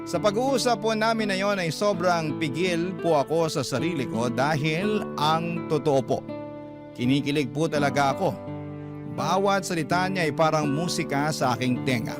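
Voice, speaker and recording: A man's voice: on the high side, moderate pace. A man with a Filipino accent narrating a scripted first-person story as a radio drama host reading a letter. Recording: clear guitar music under the voice.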